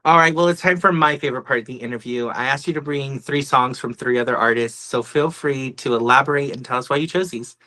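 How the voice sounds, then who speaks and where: Man, mid-pitched bright forward voice, upbeat podcast host, casual, encouraging interviewer. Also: fast talker, few pauses.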